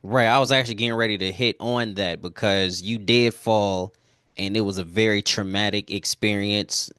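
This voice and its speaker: A man's voice: lowish voice, fairly even intonation, moderate pace, a bit bright, clear, resonant tone, no room sound, studio quality. A man hosting an interview, mostly giving brief affirming replies. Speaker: interviewer and show host. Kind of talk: casual interview talk.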